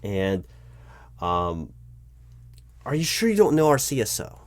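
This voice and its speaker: A man's voice: fairly low pitch, big pitch swings, unhurried. A man playing a flustered, awkwardly polite recruiting manager in a one-sided interview roleplay, speaking hesitantly and often repeating his words.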